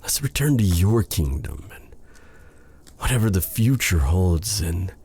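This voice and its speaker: A man playing a lovestruck, self-doubting exiled dark sorcerer in an intimate fantasy audio roleplay, breathy, halting and formal in his phrasing. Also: sits low, sing-song, slight background noise, exaggerated voice. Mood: devoted, tender. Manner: flustered, stammering, halting, formal old-fashioned phrasing.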